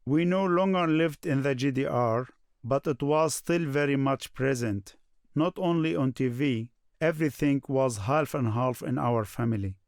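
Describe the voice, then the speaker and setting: A man's voice: mid-range voice, normal speed, no echo, clean studio sound, close to an everyday voice. A man voicing a Syrian husband and father recalling family life in scripted first-person narration. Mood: reflective.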